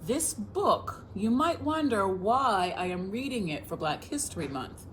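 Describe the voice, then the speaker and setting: A woman's voice: mid-range voice, very animated, measured pace, fairly bright tone, a little hiss or hum. An American woman reading a picture book aloud as a children's librarian, expressively, speaking directly to young listeners.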